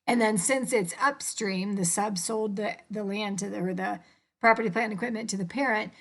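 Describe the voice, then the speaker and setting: A woman's voice: mid-range voice, moderate pace, creaky. A woman instructor informally explaining worked accounting problems step by step.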